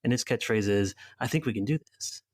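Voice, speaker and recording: A man's voice: lowish voice, fairly quick, breathy edge. A man casually introducing his character as a player in a tabletop game.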